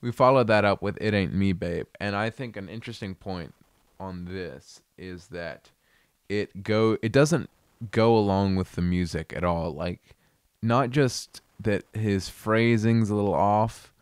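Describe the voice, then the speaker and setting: A man hosting a casual video, chatting about an album in a relaxed, amused, rambling way. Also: fairly low pitch, unhurried, clear, resonant tone, no echo, studio quality. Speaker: video host reviewing an album. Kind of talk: casual commentary.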